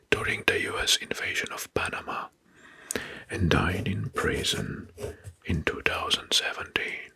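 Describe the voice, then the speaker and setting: A man narrating facts as ASMR in a hushed, breathy whisper, halting and softly repeating words. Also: low voice. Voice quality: breathy, mostly unvoiced whisper.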